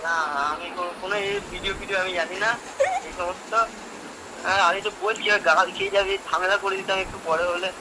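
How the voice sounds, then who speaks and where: A man's voice: sits high, little pitch movement, fast talker. A man talking bluntly and argumentatively in a casual phone conversation. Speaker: prank call recipient. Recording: phone call with clear vehicle-like noise.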